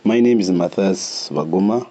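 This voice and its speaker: A Ugandan man who leads a group of returnees, giving a deliberate personal account with some restarts. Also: sits low, warm tone. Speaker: returnee community leader.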